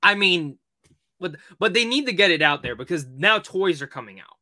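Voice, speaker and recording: A man's voice: sits high, expressive, moderate pace, bright, forceful tone. A man co-hosting a podcast, chatting casually and informally about movies. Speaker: podcast co-host.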